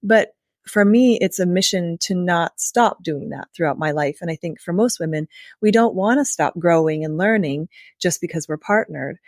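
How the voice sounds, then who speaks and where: A woman's voice: fairly low pitch, moderate pace, dry recording, studio quality. A woman hosting a podcast interview, speaking in an affirming, conversational way and building on her guest's points. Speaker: podcast host.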